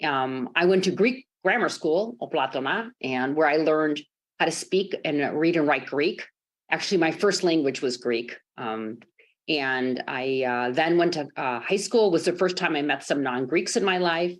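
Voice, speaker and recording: A woman's voice: low voice, normal speed. A woman from Chicago, a sports executive, telling her life story in a podcast interview over a remote call, earnest and reflective with many ums and uhs. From Chicago, United States.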